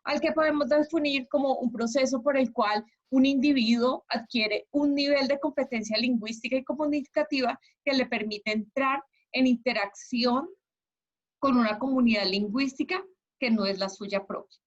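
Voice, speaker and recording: A woman's voice: high-pitched, moderate pace. A woman with a Colombian accent lecturing an online class as its teacher, encouraging and checking understanding with questions. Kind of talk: interactive lecture. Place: online video class with slides. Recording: dull, lo-fi online class audio.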